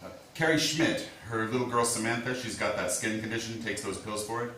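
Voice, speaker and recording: A man acting in a staged reading as a steady, apologetic small-town lawman, speaking in a measured, reassuring way. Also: on the low side. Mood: grave, hesitant. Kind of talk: staged play reading, naturalistic. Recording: echoey room, distant mic, faint hiss.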